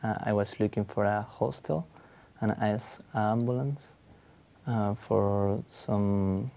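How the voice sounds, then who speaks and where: Young man, Peruvian Spanish accent, halting, gravelly voice, mellow tone. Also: fairly low pitch, steady tone. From Peru. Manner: halting, searching for words. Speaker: exam candidate. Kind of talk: spoken exam answers.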